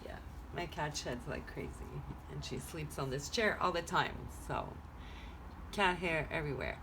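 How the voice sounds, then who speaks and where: Chatty low-voiced woman reading cards. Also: big pitch swings, normal speed, background noise. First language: French. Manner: chatty, rambling, thinking aloud. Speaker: tarot reader. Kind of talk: casual card-reading vlog. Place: home room.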